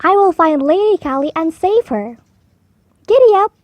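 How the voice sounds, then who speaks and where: A woman voicing a brave, cheerful male cat knight in a storybook, speaking eagerly with loud exclamations. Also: extremely high pitch, lively intonation, moderate pace, dry recording, pitched above the performer's own voice.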